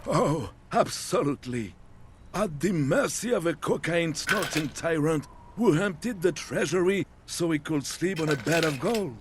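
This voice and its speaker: An actor playing an easygoing, sardonic old man in a video game, with a wry, expressive delivery. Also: fairly high pitch, moderate pace, background noise, lightly acted. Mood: sarcastic.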